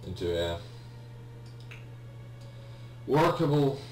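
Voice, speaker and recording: A man's voice: mid-range voice, very animated, drawn-out words, darkish tone. A young man with a Polish accent, a native Polish speaker, giving hesitant casual commentary on a game he is playing. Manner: hesitant, many ums and restarts, apologetic. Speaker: let's play gamer. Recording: echoey room, distant mic, faint hum.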